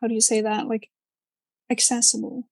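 A woman's voice: a little high, flat delivery, normal speed, dry recording. Young woman, searching for words. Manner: searching for words, hesitant with many fillers. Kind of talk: casual chat.

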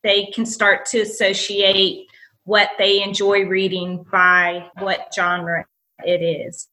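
A woman's voice: mid-range voice, flat delivery, slow pace, bright, forceful tone, low-quality recording. A woman school librarian from North Carolina, answering interview questions in an upbeat, enthusiastic way. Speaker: school library media specialist.

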